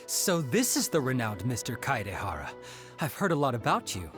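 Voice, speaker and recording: A woman playing a polite, eager townswoman in an anime-style video game, with a courteous and expressive manner. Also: rumbling low, moderate pace, over music. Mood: delighted, respectful.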